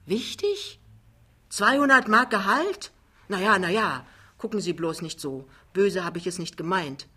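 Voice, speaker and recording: A woman's voice: mid-range voice, expressive, a little slow, pushed and bright, breathy edge, exaggerated voice. Woman putting on a blustering, jovial big male wheeler-dealer. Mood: breezy, boastful. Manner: blustering, colloquial, chummy. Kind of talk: dramatized literary reading.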